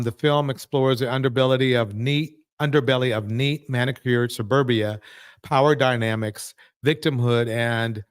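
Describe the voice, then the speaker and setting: A man hosting a live panel, moving the talk along and haltingly reading a film introduction. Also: mid-range voice, smooth. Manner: halting, many fillers when reading. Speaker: host.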